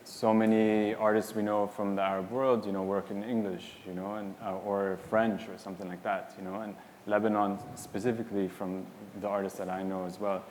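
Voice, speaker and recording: A man's voice: fairly low pitch, little pitch movement, brisk, a little mellow. A man on a symposium panel giving a loose, rambling answer full of "you know" and "like". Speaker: panelist and publisher. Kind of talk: casual spoken answer on a panel. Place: hall at a symposium. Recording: long reverb in a hall, faint noise.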